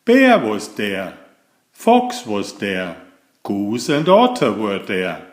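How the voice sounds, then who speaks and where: A man reading a bedtime picture book aloud in an expressive, deliberate storybook manner. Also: fairly low pitch. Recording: echoey room sound.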